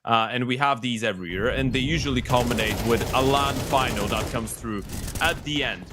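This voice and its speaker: A man casting an esports tournament, filling a delay with relaxed, easygoing chat. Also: mid-range voice, fairly quick, very noisy. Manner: relaxed, easygoing, lots of fillers. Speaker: esports caster. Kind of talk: filling airtime with casual chat.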